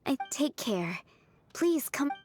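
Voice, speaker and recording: Loyal, caring young townswoman: fairly high, gentle game dialogue with faint noise. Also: big pitch swings, takes it slowly, full character voice. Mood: earnest, caring.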